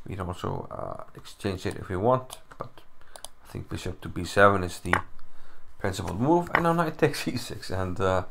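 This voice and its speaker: A young man with an Icelandic accent talks through a chess game into his computer mic at home. His voice sits low, with a gravelly edge. He is chatty and loose, puzzling over moves aloud and making fun of himself. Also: big pitch swings, normal speed, noisy background. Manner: chatty, self-deprecating, thinking aloud. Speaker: chess commentator. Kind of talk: casual chess analysis. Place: home room. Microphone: computer mic, no camera.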